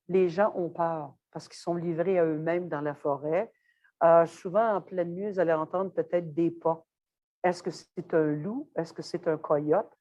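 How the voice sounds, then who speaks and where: A middle-aged woman from Quebec, speaking slowly and carefully with pauses as a guest speaker. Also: on the low side, mellow, lo-fi sound. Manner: slow, measured, with frequent pauses and fillers. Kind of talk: webinar presentation; scripted narration in the video part.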